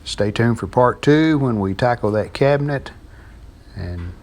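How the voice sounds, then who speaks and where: An older man giving a hands-on repair walkthrough in plain-spoken, halting phrases. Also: mid-range voice, lively intonation, a little mellow.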